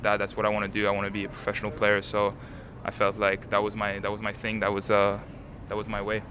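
An eighteen-year-old man from Canada, a native French speaker, answering interview questions outdoors in a calm, composed way with many fillers. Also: fairly low pitch, fast talker. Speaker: tennis player, interviewee. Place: outdoors at a tennis stadium. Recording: crowd noise behind.

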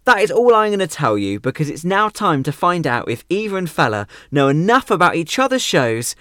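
A male radio presenter handles interviews and links between segments. His pitch moves around in a lively, animated way, and the sound is dry with hardly any room on it. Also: fairly quick, no room sound. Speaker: radio host.

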